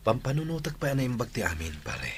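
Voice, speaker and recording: An actor playing a proud, cold young lover in a Filipino radio drama, with an Ilocano accent. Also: male voice, medium pitch, very animated, brisk, a little hiss or hum, natural voice. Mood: cold, unbothered.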